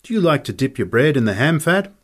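A man voicing a courteous, soft-spoken man in a story reading, formal and deferential in his manner. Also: medium pitch, very animated, fast, pitched above the performer's own voice. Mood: polite, attentive. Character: courteous, soft-spoken male companion of a prizefighter.

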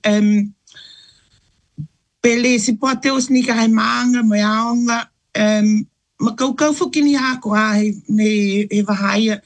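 A middle-aged woman from Niue speaking in an interview, unhurried, with frequent pauses and ums. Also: fairly high pitch. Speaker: interview guest, mother. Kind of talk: casual radio interview.

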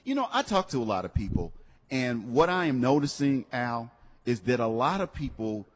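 Husky man hosting live radio, very echoey. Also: medium pitch, big pitch swings, normal speed. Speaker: radio host. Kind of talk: live promotional radio chat for a car dealership. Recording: long hall-like reverb.

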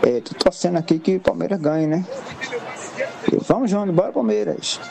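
A man from Salvador leaves a friendly voice message for a radio sports show. His voice has a gravelly grain. It comes across as a message played on air. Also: high voice, normal speed, crowd noise. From Salvador, Brazil. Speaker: listener caller.

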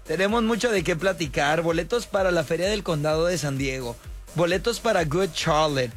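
High-voiced Mexican radio host, chatty. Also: male voice, soft background music. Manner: chatty, slangy, quick asides and laughs. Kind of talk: casual radio call-in chat. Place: radio studio.

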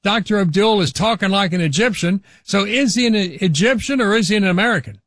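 An older man hosting a talk radio show, giving opinionated commentary in a halting way with restarts. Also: high voice, fairly bright tone, no echo, clean studio sound. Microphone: studio microphone.

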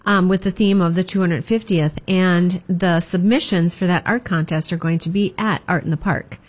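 Brief: lowish female chamber rep, upbeat, promo tone, lots of ums. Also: steady tone, moderate pace. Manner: upbeat, filler-heavy, promotional. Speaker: chamber of commerce guest. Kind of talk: community announcements in casual chat.

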